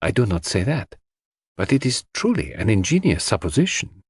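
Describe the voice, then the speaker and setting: A male reader acts out a shrewd gentleman detective from a mystery audiobook. He speaks formally and softly, as if confiding, with polite gravity and a lively melody in a dry recording. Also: lowish voice, moderate pace, husky, dry recording. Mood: musing.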